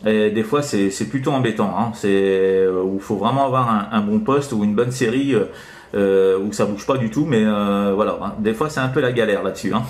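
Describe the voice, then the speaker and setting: A man with a fairly low voice talks casually over amateur radio. He rambles hesitantly, tacking little tags onto his phrases. The room around him echoes clearly. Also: normal speed. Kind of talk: casual radio contact chat. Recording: roomy, echoing room.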